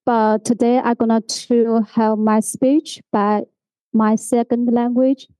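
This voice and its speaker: High, mellow Chinese-accented woman presenting. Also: flat delivery, slow, clean tone, no echo. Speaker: graphic designer giving a presentation. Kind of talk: prepared conference presentation.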